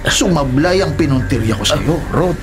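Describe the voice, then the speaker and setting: A man acting a ruthless, gloating killer in a Filipino radio drama, taunting with drawn-out threats. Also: on the high side, expressive, over music, natural voice. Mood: boastful, taunting. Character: gloating ruthless male illegal-logger killer.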